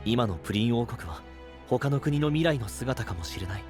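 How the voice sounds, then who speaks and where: A man playing a dutiful guardian knight in an anime-style voice drama, grave, gentle and earnest. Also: mid-range voice, lively intonation, normal speed, soft background music, natural voice. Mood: earnest, resolute.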